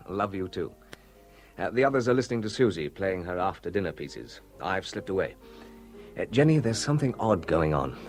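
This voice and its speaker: A man's voice: deep voice, big pitch swings, moderate pace, faint music behind. An actor playing a curious young man on leave who senses something odd, in a radio play. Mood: hushed. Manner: curious, quietly alert. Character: young male officer on leave, amateur sleuth. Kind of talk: radio drama acting.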